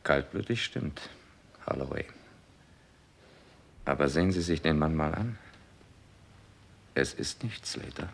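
Gravelly, deep police chief. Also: male voice, animated, slow, pauses often, exaggerated voice. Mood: grim. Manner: terse, curt orders. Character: weary, commanding male Scotland Yard police chief inspector. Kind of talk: German radio crime play acting. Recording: old noisy radio play recording.

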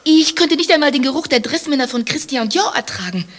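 An actress playing a haughty, sardonic wife accused of adultery in a satirical German radio play, mocking and theatrically indignant. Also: female voice, sits high, expressive, fairly quick. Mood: wry, evasive.

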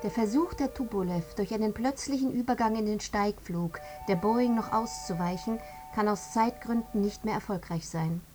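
An actress playing a precise, clinical reporter reading an air crash investigation in a radio drama. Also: female voice, mid-range voice, normal speed, few pauses, music in the background, lightly acted. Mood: clinical, detached.

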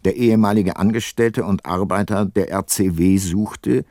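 An actor playing an ageing, remorseful detective and former prosecutor in a radio drama, narrating and talking in a measured, reflective way. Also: male voice, lowish voice, a little mellow, no echo, studio quality, lightly acted. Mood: reflective, sober. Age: older. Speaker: narrator and lead character.

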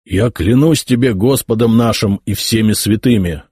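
A man voicing an old prince-bishop who speaks calmly and carefully. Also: lowish voice, breathy edge, no room sound, studio quality, pitched above the performer's own voice. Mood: measured. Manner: calm, measured. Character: old male prince-bishop, calm and guarded. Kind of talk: audiobook character voicing.

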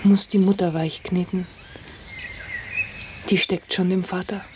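A woman's voice: fairly low pitch, flat delivery, unhurried, noisy background, natural voice. An actress playing a meek, earnest young country girl in a German radio drama. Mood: hushed.

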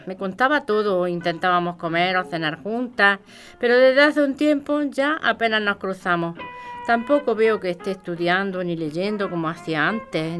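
A woman's voice: lowish voice, a bit bright, lightly acted. An actress with a Spain accent playing a caring but exasperated working woman, speaking colloquially and expressively. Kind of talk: audio fiction podcast acting.

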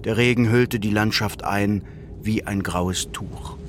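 A man narrating a literary radio drama in a measured, solemn and precise manner. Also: lowish voice, fairly even intonation, a little hiss or hum.

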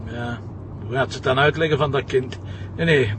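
An actor playing a sardonic, commanding Flemish police commissioner in a crime drama. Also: male voice, mid-range voice, moderate pace, natural voice. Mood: urgent, puzzled. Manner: dry, sarcastic, commanding. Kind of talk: naturalistic TV crime drama acting. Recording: loud car noise and sirens, distant.

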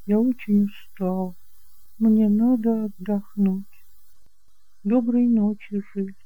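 Мужчина озвучивает тревожную, печальную и усталую женщину, тётю героя, в аудиоспектакле; манера жалобная, просящая. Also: male voice, high-pitched, steady tone, slow, dry recording, phone-quality sound, pitched above the performer's own voice. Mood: sad, tired. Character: anxious, sorrowful young aunt, weary and tearful. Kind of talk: audiobook drama acting.

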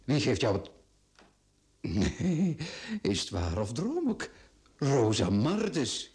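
An actor playing a smooth-talking, flirtatious, bossy boss in a radio play. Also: male voice, medium pitch, slow pace. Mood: familiar, flattering. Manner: teasing, flattering, bossy.

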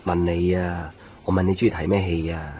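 An actor playing an easygoing, kindly young shopkeeper and narrator in a radio drama, with a Hong Kong Cantonese accent. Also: male voice, deep voice, little pitch movement, fairly quick, a little hiss or hum, natural voice. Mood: reflective, puzzled. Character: easygoing, kindly young male copy shop keeper, narrator. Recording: muffled 1990s radio drama recording.

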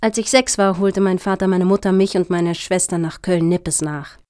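A woman's voice: on the low side, steady tone, moderate pace, darkish tone, close to an everyday voice. Earnest, self-righteous middle-aged activist played by a woman. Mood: self-presenting. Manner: emphatic, preachy, rhetorical. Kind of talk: satirical radio play dialogue.

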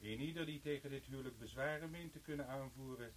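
An actor playing a formal announcer reading marriage banns in a radio play. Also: male voice, mid-range voice, fast, slight background noise, natural voice.

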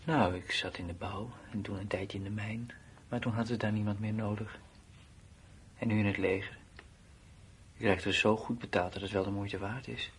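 An actor playing a blunt, uneasy soldier in a Dutch radio drama, with plain, colloquial, matter-of-fact delivery. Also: male voice, fairly low pitch, steady tone, moderate pace, lightly acted. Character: blunt, uneasy male soldier left behind at his post. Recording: noisy old radio play recording.

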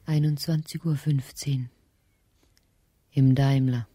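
An actress playing a detached narrator in a radio drama, hushed and measured as she voices inner thoughts. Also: extremely low pitch, fairly even intonation, breathy edge, exaggerated voice. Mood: hushed narrating. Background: faint music.

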